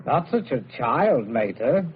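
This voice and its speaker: An actor playing a flippant, callous young son in an old-time radio drama, mocking and sarcastic. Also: male voice, medium pitch, big pitch swings, measured pace, breathy edge, full character voice. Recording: tinny, noisy old radio recording.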